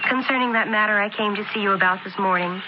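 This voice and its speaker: Jealous young Roman lady played by a woman, medium pitch, imperious, radio drama, noisy dull recording. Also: quick pace, close to an everyday voice. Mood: scornful, plotting. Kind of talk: old-time radio drama acting.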